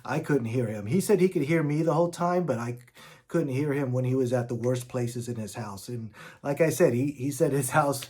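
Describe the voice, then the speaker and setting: A man's voice: medium pitch, moderate pace, darkish tone, breathy edge. Casual man reviewing gear. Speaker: product reviewer.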